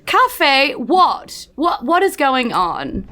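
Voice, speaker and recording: A woman's voice: sits high, sing-song, drawn-out words, pushed and bright, slight background noise, voice pushed up, full character voice. Woman playing a puzzled, polite shop assistant. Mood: puzzled, welcoming. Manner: hesitant customer greeting.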